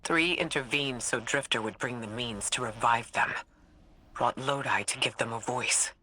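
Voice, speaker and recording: Determined game ally; raspy and very bright, earnest and grave. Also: male voice, mid-range voice, moderate pace. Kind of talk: dramatic video game acting.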